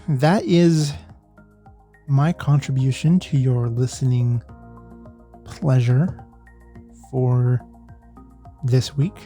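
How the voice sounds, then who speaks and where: A man hosting a solo podcast, talking casually and reflectively in halting phrases. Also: mid-range voice, dark tone. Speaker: podcast host.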